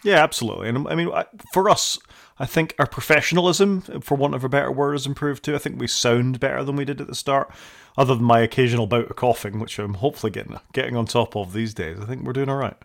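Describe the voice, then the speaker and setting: A man's voice: medium pitch, fast, vocal fry. A man co-hosting a podcast, chatting in an upbeat, self-deprecating way. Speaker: podcast co-host. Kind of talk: casual chat.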